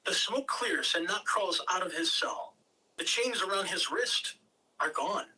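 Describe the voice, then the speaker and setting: Table-read narrator: bright forceful voice, unhurried delivery, theatrical, picked up at a distance. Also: medium pitch, expressive. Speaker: script reader at a table read. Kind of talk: expressive amateur reading of screenplay directions. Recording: distant home mic, background noise.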